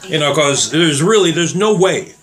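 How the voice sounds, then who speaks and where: A slightly hoarse man talking casually and rambling as he tests trigger locks on guns for his viewers. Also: fairly high pitch, animated, moderate pace, a bit bright. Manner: rambling, plain-spoken, addresses viewers as guys. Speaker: gun reviewer. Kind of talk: casual demo vlog.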